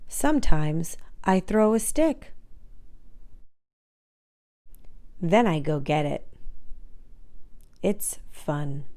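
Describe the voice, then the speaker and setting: A woman voicing a proud, independent dog in a children's storybook, with expressive, deliberate, self-assured telling. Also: fairly low pitch. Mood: proud, matter-of-fact.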